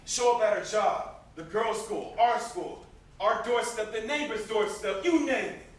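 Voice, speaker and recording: A man's voice: high-pitched, measured pace. A young man on an amateur stage playing a bitter, guilt-ridden brother in mourning, with vehement, declamatory delivery. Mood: agitated. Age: young adult. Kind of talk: amateur stage drama acting. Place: indoor stage, recorded from a distance. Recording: very echoey, noisy stage recording from far off.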